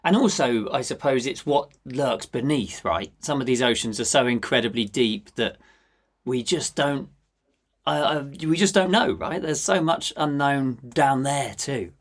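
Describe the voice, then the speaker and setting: A man hosts a conversational podcast in a medium-pitched voice. He puts his questions casually, often doubling back on words as he forms them. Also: animated, a little slow. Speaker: podcast host.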